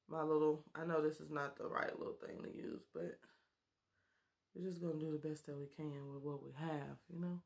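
A woman in her thirties chatting and joking with viewers while doing her makeup, recorded close on a webcam in a small bedroom. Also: low-pitched. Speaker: beauty vlogger. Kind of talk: casual makeup tutorial chat.

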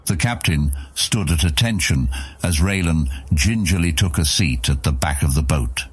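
A man narrating a fantasy audiobook in a measured storytelling manner. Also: deep voice, breathy edge.

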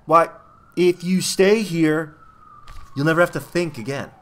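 A man's voice: a little high, a little slow, voice pushed up, lightly acted. Man acting out a sly, theatrical demon villain. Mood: soothing, deceitful. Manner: theatrical, menacing, deceitful. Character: sly villainous male demon of petty tasks.

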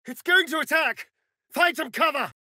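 A man's voice: very high voice, normal speed, bright, forceful tone, dry recording, clean studio sound, heavily acted. An actor voicing a sly, sardonic male vampire rogue in a video game, with a theatrical, drawling manner. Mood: shouting, furious. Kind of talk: video game character voice lines.

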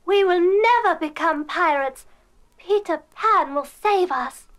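A woman's voice: very high voice, lively intonation, slow pace, fairly bright tone, slight background noise, exaggerated voice. A woman playing a proud, sensible young girl in a children's storybook record, earnest and proper. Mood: proud, resolute. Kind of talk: children's read-along storybook acting.

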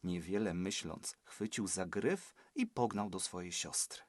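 A man from Poland, a teacher and author, reading a storybook aloud with expressive narration in a recording studio. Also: low voice, unhurried, dry recording. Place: small school recording studio.

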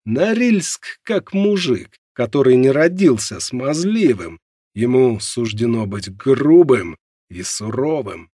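A man with a gravelly, husky voice narrating a nonfiction audiobook in a measured, dramatic storytelling way. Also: mid-range voice, very animated, dark tone, dry recording, studio quality.

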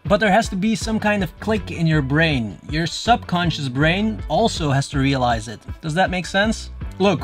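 A man in his thirties with a Dutch accent, a native Dutch speaker giving earnest advice straight to camera from a dim room, gesturing as he explains. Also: high voice. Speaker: YouTuber. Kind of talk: direct-to-camera advice vlog. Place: dim room with shelves, indoors.

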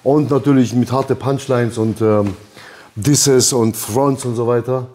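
A man's voice: mid-range voice, fairly even intonation, measured pace, warm tone. A man in his thirties hosting a casual rap-scene chat on a sofa by a boxing ring, rambling and laughing often. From Albanian background. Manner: laid-back, rambling, laughs often, acts out quotes. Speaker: podcast host. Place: lounge by a boxing ring, indoors.

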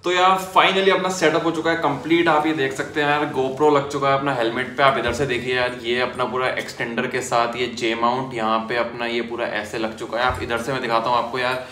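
A young man talks through a gear demo at his desk in a small room, casual and chatty, calling the viewer brother and buddy. His voice is bright and forward, and the room adds a noticeable echo with a faint hiss behind. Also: medium pitch, little pitch movement, fairly quick, hardly any pauses. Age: young adult. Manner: chatty, addresses viewers as bhai and yaar. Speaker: motovlogger. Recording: echoey room.

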